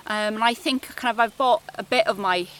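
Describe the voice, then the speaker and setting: Welsh lady in her 40s chatting away, lots of kind of's, bright voice. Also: on the high side, brisk. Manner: chatty, many fillers like "kind of". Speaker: project officer. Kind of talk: casual interview chat.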